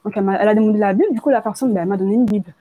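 A woman's voice: mid-range voice, racing, phone-quality sound. A nineteen-year-old woman from Congo who grew up partly in France, giving a personal testimony in a hesitant, colloquial way with repeated words. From Congo, moved to France at age nine. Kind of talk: personal testimony, informal spoken storytelling.

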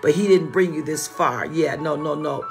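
A woman's voice: sits low, moderate pace, creaky. A woman pastor delivers a morning devotional in a fervent, repetitive preaching style. She stumbles into restarts and peppers every line with "my God" before landing emphatic declarations. Faint music plays behind her. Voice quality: fervent, repetitive exhortation with frequent "my God" interjections.

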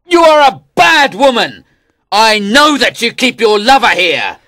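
An enraged young king from a storybook, shouting in a high, bright, strained voice, as a male narrator puts him on. His delivery is slow and swings widely in pitch. The recording is dry and close. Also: big pitch swings, heavily acted. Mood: furious.

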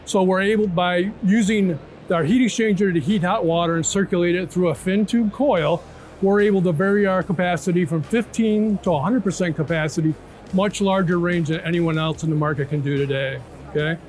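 High-pitched man explaining a product. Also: fairly quick, darkish tone. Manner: explanatory, checks in with "right?" and "okay?". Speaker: product manager presenting a product. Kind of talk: product demonstration in an interview. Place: large indoor trade show floor. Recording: music bed under trade show talk. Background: music.

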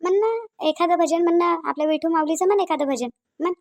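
A fussy older village mother-in-law voiced by a woman keeps a cartoon household moving, with a Vidarbha Marathi accent. Her voice sits very high, and she hurries and welcomes guests in the same breath. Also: fairly quick. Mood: welcoming. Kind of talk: cartoon voice acting.

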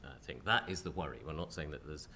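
A man with a cold, chairing a panel discussion in a lecture hall, speaking in a croaky, hesitant, measured way. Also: very deep voice, pushed and bright, slight background noise.

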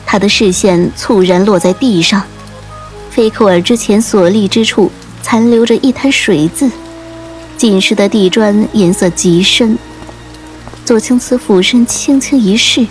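A woman reading a dramatic audiobook story in a measured, literary storytelling style. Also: mid-range voice, darkish tone, low-quality recording. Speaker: audiobook narrator. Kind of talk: dramatic scripted storytelling narration. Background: faint music.

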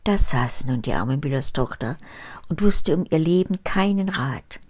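A woman in her seventies reading a fairy tale aloud at home in an expressive, lively way, with a camera set up at table distance. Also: low voice, a little slow. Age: older, about 70s. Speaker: storyteller reading fairy tales. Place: cozy home study with bookshelves, indoors.

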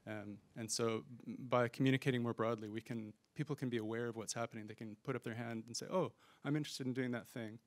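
A man's voice: mid-range voice, steady tone, fast talker, few pauses. A male panelist speaks in a thoughtful, hedging way, stopping often to find his words, in a mid-range voice. The handheld microphone keeps him dry and close, with no room echo. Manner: thoughtful, hedging, frequent fillers and restarts. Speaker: panelist and conference organizer. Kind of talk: conference panel discussion. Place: conference room stage.

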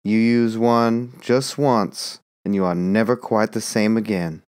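A woman's voice: rumbling low, fairly even intonation, measured pace, a little mellow, dry recording, clean studio sound, voice pushed down. A woman voicing a nervous man who speaks in an uneasy, earnest warning tone.

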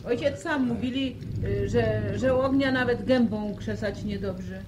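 A woman's voice: on the high side, a little slow, natural voice. An actress playing an anxious farm mother who fusses over her family in a radio drama. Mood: worried. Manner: fussing, cautious. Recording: hissy old radio drama tape.

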